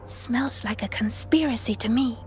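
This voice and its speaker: A woman voicing an inquisitive, suspicious young girl sleuth in a video game, earnest and deliberate. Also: fairly high pitch, close to an everyday voice. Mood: puzzled, suspicious. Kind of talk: animated video game voice acting. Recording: music under the voice.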